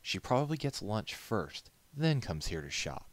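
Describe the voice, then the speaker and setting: A man's voice: on the low side, sing-song, measured pace, no echo, pitched above the performer's own voice. A man voicing a practical male pony puppet-maker in a storybook reading, dry, teasing and matter-of-fact.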